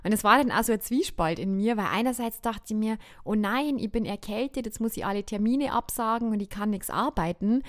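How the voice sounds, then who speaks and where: A woman's voice: mid-range voice, fairly quick, hardly any pauses, no echo, studio quality. A woman hosting her own podcast as an astrologer, talking candidly and laughing often, a little stuffed up from a cold. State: slightly stuffed-up nose from a cold. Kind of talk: casual solo podcast talk.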